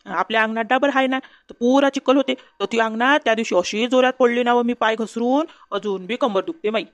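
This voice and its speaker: A woman voicing a grumbling, sharp-tongued village mother-in-law in a comedy cartoon, with a Varhadi Marathi accent. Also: fairly high pitch, a little fast, dry recording, lightly acted. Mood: gossipy, resentful. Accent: Varhadi Marathi from Vidarbha. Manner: griping, gossipy, insulting. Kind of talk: comedy cartoon dialogue in rural dialect.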